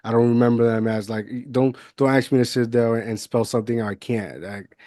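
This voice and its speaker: A man's voice: mid-range voice, steady tone, a little fast, mellow, no room sound, clean studio sound. A man in his thirties from Puerto Rico, hosting a podcast interview in a loose, rambling way with many fillers and restarts. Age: 30s. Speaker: podcast host. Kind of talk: casual conversational interview. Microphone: budget USB mic, video call.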